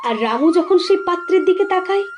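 A woman's voice: very high-pitched, expressive, a little fast, darkish tone, highs cut off, faint music behind. A woman narrating a scripted storybook tale for a cartoon.

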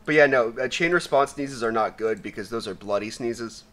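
A young man with a raspy voice giving casual, profane commentary while opening loot, swinging between mumbling and shouting. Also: medium pitch, moderate pace. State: raspy from sneezing. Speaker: gaming YouTuber.

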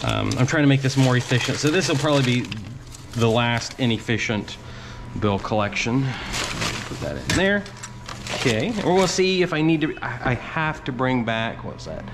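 A man chats his way through emptying coin boxes and a change machine, talking easily to his viewers as he works. His voice sits at an average pitch, picked up by a body-worn action camera. Clicks and a clear hiss of background noise run under it. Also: big pitch swings, normal speed. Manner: chatty, offhand running commentary. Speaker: laundromat owner filming a vlog. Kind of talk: casual vlog narration while working. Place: small indoor laundromat. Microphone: head or chest-mounted action camera.

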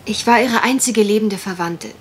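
A woman's voice: medium pitch, normal speed, a little hiss or hum, lightly acted. A woman acting a genteel, worried young country heiress in a German radio mystery play, polite, well-spoken and a little hesitant. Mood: earnest, explaining.

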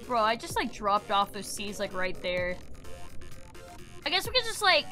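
A woman's voice: mid-range voice, big pitch swings, normal speed, sharp tone. A young woman streaming a game, sick and losing her voice, chatting casually, swearing and shrieking when startled. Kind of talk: casual gameplay streaming chat. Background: faint game music.

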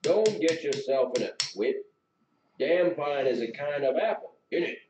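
A man voicing a big, jovial farmer in a story, booming, folksy and full of mischievous teasing. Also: expressive, measured pace. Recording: roomy, distant mic, noisy with clicks.

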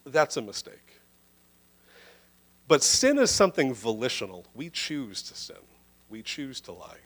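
A man in his thirties preaching a sermon into a handheld microphone indoors, earnest and emphatic, slowing down to stress key phrases. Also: medium pitch. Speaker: pastor. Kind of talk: livestreamed sermon. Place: indoor church room.

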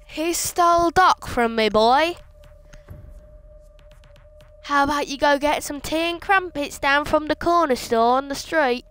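A woman chatting and joking while playing a shooter game, getting loud and excited in fights. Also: high-pitched, a little slow, pauses often, faint music behind. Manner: chatty, jokey, shouts excitedly. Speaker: gameplay streamer. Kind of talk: casual gameplay chat.

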